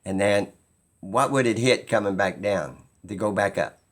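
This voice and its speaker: A man talking informally and skeptically as he explains something, halting and pausing mid-sentence as he muses. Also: fairly low pitch. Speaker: video host.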